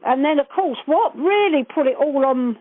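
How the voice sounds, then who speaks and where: Older woman, high-pitched, on the phone. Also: animated, moderate pace, no room sound. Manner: chatty, earthy and blunt, swears casually. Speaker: interviewee recalling past events. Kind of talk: casual phone reminiscence. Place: on a phone call. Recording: narrowband telephone call, dry.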